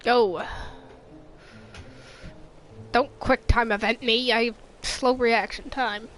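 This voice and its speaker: A woman gives casual commentary as she plays a game. Her voice is a bit high and she takes her time, rambling with playful, dry sarcasm. There is faint noise behind her. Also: steady tone, unhurried, fairly bright tone. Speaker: game streamer.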